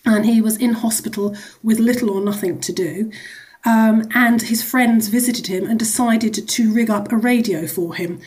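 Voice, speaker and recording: A woman's voice: a little high, normal speed, darkish tone. A woman speaking as a charity executive in a radio interview, explaining the work in a conversational, wordy way with frequent asides.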